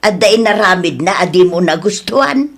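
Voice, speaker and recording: A woman's voice: medium pitch, animated, brisk. Woman playing a frank, chiding confidante. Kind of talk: radio drama acting.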